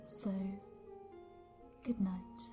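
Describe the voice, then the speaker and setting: A woman playing a protective forest fairy who delivers lullaby verse in a measured, chant-like way. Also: music in the background. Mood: soothing, warding. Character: protective forest fairy attendant soothing her queen.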